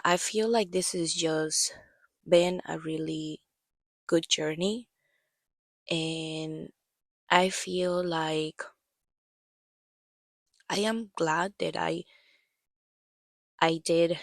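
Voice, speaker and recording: A woman's voice: low-pitched, vocal fry, fairly bright tone, no room sound. A woman in her thirties telling a personal story as a podcast host, rambling and hesitant with many fillers, her voice worn from allergies and coughing.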